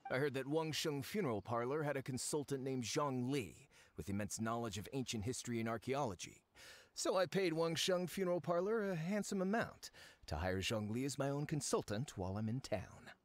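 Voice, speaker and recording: An actor playing a slick, smug and impatient researcher in an anime game, with glib, affected politeness. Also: male voice, medium pitch, very animated, brisk, no echo, lightly acted. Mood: glib, placating.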